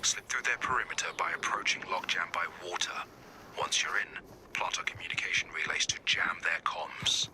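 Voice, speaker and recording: Covert game commander, male actor: lowish, breath-heavy hushed voice, terse mission instructions, all business. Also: moderate pace, in a whisper, lightly acted, rough recording. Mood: focused. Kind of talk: video game mission briefing.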